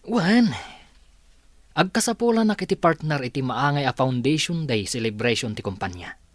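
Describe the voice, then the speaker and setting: A man's voice: mid-range voice, lively intonation, moderate pace, no echo, natural voice. An actor playing a rich, demanding young male boss in an Ilocano radio comedy-drama. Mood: teasing, bossy.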